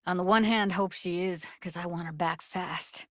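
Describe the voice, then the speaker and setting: A woman's voice: lowish voice, fairly even intonation, normal speed, a bit bright, no echo, clean studio sound, lightly acted. A woman voice-acting a tough, blunt but tender-hearted young techie in a video game, with clipped, slangy, sweary phrasing. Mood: soft, worried.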